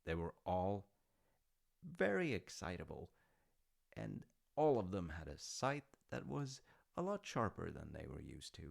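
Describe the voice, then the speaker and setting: Easygoing storyteller dad male voice actor, audio roleplay: unhurried, creaky, wry and musing. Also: low voice, exaggerated voice. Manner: wry, understated, musing with dry humour. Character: easygoing, artsy dad telling Norse myths to his grown child. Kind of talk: casual, intimate storytelling roleplay.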